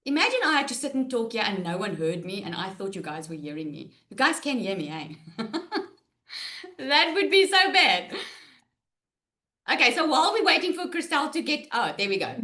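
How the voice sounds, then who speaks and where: A woman's voice: a little high, sing-song, moderate pace, sharp tone. A woman hosting a live online webinar over a video call, upbeat and chatty with her viewers. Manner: upbeat, addresses viewers as guys. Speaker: webinar host.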